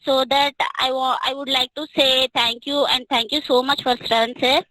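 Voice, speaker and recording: Halting young woman, bright. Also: sits high, little pitch movement, dry recording, poor audio. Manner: hesitant, many fillers and restarts. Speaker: student speaker. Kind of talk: speech about mock interview experience.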